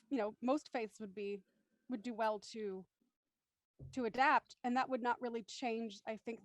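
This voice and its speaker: Thoughtful, articulate woman, higher voice, dry sound. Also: no room sound. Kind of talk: thoughtful panel discussion.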